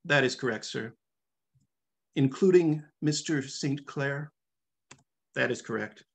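A middle-aged man reading a dialogue from a novel aloud in a formal, measured manner. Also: medium pitch, gravelly, breathy edge. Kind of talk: dramatic reading of a question-and-answer hearing. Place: small room at home, video call.

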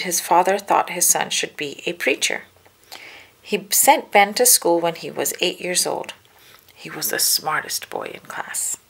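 A woman narrating a children's storybook aloud with measured, deliberate phrasing. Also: fairly low pitch, gravelly, slightly rough.

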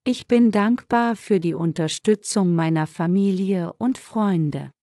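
A woman slowly reading German example sentences for learners with careful, measured delivery. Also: medium pitch, warm tone, no room sound, studio quality. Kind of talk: slow, clear model sentences for learners.

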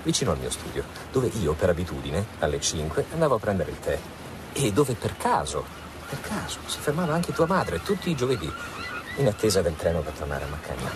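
An actor playing a reassuring, smooth-talking suitor of about thirty in an Italian radio drama. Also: male voice, deep voice, sing-song, brisk. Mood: reassuring, explaining. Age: 30s. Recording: radio drama with clear ambient noise.

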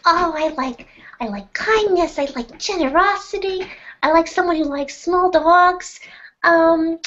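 A sugary, overly polite young woman, put on by a woman in a comedic interview bit. Her voice sits very high and girlish. The recording sounds dull and lo-fi. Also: unhurried, a bit bright. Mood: sugary sweet.

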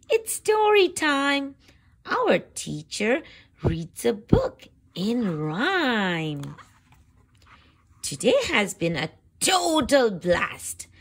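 A woman reads aloud to small children in a highish, very lively voice with some creak in it. She takes the words very slowly and deliberately, stressing them expressively as a picture-book host. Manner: slow, deliberate phrasing with animated emphasis for young listeners.

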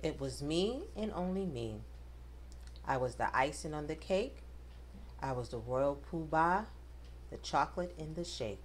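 A woman reading a rhyming picture book aloud to children, with expressive, dramatic emphasis. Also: sits low, a little slow, pauses often, a little hiss or hum. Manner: addresses children directly, dramatic emphasis on rhymes.

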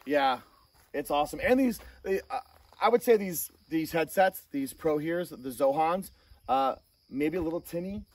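A man hosting a casual hands-on gear review, talking in a halting way with self-corrections. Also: a little high, animated. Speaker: host of a gear review channel. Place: outdoor shooting range. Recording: distant mic, outdoor noise, insect chirps.

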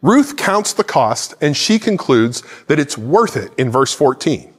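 A man's voice: a little high, sing-song, measured pace, breathy edge, long reverb tail. A man in his forties preaching a sermon to camera from a church stage, gesturing with a Bible in hand. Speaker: pastor. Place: church stage set with plants and a cross.

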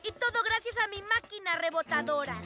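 A woman's voice: extremely high pitch, animated, brisk, loud music behind the voice. A woman voicing a scheming boy genius villain in a cartoon dub, boastful, gloating and imperious.

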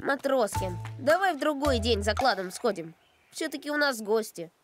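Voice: medium pitch, expressive, normal speed, soft background music, lightly acted. A performer voicing a kind, sensible little boy in a children's cartoon, earnest and friendly. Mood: reasonable. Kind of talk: animated children's cartoon acting.

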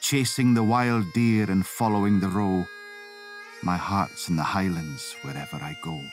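A man's voice: low-pitched, fairly even intonation, unhurried, faint music behind. A man giving a dramatic scripted narration as an audiobook narrator. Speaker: voice-over artist and audiobook narrator.

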